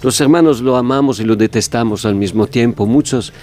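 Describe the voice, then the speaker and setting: A man's voice: medium pitch, a little fast, darkish tone. A man from the Italian-speaking part of Switzerland with an Italian accent and some Mexican Spanish in his speech, telling stories as a guest in a radio interview. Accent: Swiss Italian, with some Mexican Spanish. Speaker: guest, theatre director.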